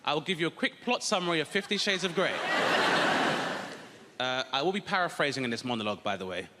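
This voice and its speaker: High, animated stand-up comic in a theatre. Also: male voice, fast. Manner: sardonic, deadpan asides to the crowd. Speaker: stand-up comedian. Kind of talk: stand-up routine. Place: large theatre stage with audience. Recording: live theatre with long reverb and noise.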